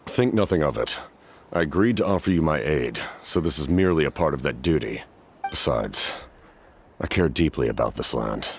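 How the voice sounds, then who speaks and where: Very deep, solemn warrior captain. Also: male voice, expressive, a little hiss or hum. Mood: solemn, reflective. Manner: formal, grave, measured. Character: solemn, honourable centuries-old male warrior captain, stoic. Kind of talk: scripted video game voice acting.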